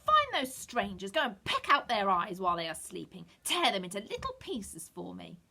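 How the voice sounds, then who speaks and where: A woman's voice: medium pitch, sing-song, normal speed, bright, forceful tone. A woman voicing a vicious one-eyed wicked old witch from a storybook, screeching her commands. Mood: furious.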